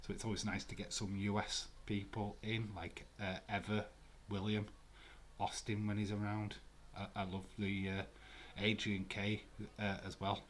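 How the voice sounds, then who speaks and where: A man's voice: lowish voice, monotone, normal speed, a little hiss or hum. A man with a Glasgow accent hosting a live watch-along stream from a room at home, chatting casually with viewers in a rambling way with many fillers. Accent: Glaswegian. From Scotland.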